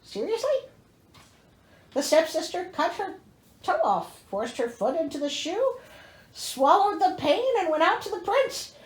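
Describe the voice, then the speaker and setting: A woman's voice: very high voice, big pitch swings, measured pace, pauses often, reverberant, rough recording. A woman reading a storybook aloud as host, theatrical and wry, breaking in with exasperated, sarcastic asides.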